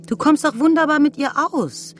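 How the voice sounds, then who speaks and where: An actress playing a weary, impatient, sharp-tongued woman in a radio crime drama, curt and exasperated. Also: high-pitched, moderate pace, faint music behind, close to an everyday voice.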